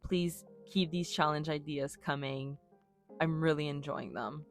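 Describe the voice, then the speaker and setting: A woman's voice: low-pitched, fairly even intonation, unhurried, soft background music. A young woman whose first language is French, chatting in a casual, upbeat voice-over about her game build. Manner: chatty, upbeat, self-deprecating. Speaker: YouTuber narrating a game build.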